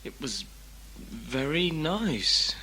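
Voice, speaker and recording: A man's voice: a little high, expressive, drawn-out words, heavy background noise, exaggerated voice. An actor playing an anxious male prisoner with a dry, sarcastic streak in a sci-fi audio drama. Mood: placating. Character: wry, anxious male captive.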